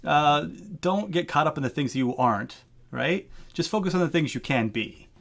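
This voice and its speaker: Excited man on a headset mic. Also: a little high, moderate pace, gravelly. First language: English. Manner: excited, emphatic, playful. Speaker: game dev teacher, co-host. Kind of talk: casual livestream chat.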